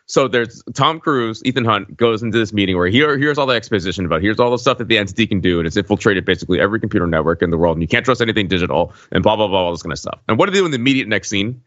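A man's voice: on the low side, lively intonation, rapid-fire, hardly any pauses, a bit bright, dry recording, lo-fi sound. A man in a casual film discussion, talking in an emphatic, nitpicking way as a podcast panelist.

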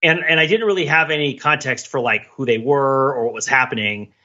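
A middle-aged man chatting casually as a podcast host, an animated storyteller who slips into imagined dialogue. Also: medium pitch, brisk, dull audio. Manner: animated storyteller, acts out imagined dialogue, laughs while talking. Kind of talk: casual conversational podcast chat.

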